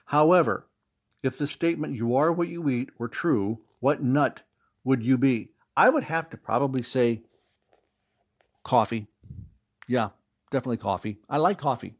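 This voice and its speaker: A man answers interview questions in a casual, conversational way. He talks expressively and rambles like a joking storyteller, at an ordinary middle pitch. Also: moderate pace, pauses often. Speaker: interview guest, audio drama writer and actor.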